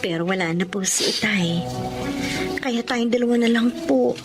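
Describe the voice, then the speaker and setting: A woman's voice: on the high side, normal speed, over music, natural voice. A woman playing a caring young student daughter in a Filipino radio drama, speaking respectfully and reassuringly.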